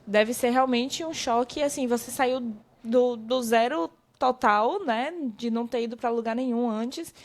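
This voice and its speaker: A Brazilian woman with a Brazilian Portuguese accent, hosting a casual interview and telling her own stories with lively quoted asides. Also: a little slow. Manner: animated storyteller, quotes herself in high bursts. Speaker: podcast host.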